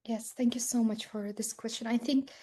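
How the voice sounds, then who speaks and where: A young woman from Ukraine speaking as a guest, earnest and personal, often filling pauses with "like". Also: a little high, steady tone, mellow. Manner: earnest, frequent "like" fillers.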